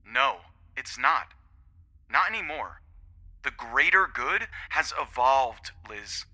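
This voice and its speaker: An actor playing an anguished, protective husband pleading emotionally in an audio drama. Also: male voice, medium pitch, sing-song, slow, bright, forceful tone, full character voice. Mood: exasperated, protesting.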